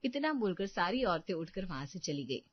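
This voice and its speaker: A woman's voice: on the low side, very animated, moderate pace, breathy edge, no echo, dull audio. Woman narrator, dry close sound. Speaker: story narrator. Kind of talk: scripted story narration.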